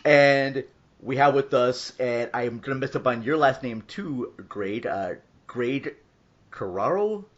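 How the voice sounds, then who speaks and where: A man speaks as a podcast host at a mid pitch, casual and a little hesitant. The recording is dull and lo-fi, with an informal, apologetic feel to his delivery. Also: lively intonation, takes it slowly.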